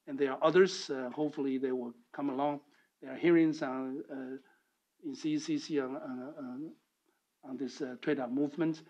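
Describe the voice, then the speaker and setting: A man from Taiwan, giving halting remarks full of fillers and restarts as a speaker at a policy forum. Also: a little high, a little mellow, lo-fi sound. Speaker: panel speaker.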